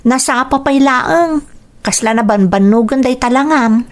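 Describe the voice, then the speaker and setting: A woman's voice: sits high, a little slow, a little hiss or hum. A woman acting a kind, encouraging teenage schoolgirl in an Ilocano radio drama, with an Ilocano accent. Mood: curious, teasing.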